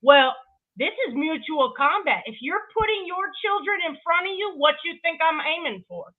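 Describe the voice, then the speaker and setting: A woman's voice: high voice, expressive, normal speed. A woman ranting casually on a livestream in a blunt, confrontational, swaggering manner, over a thin phone-like connection. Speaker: livestream guest. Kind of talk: casual combative rant. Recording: thin, phone-like band-limited sound.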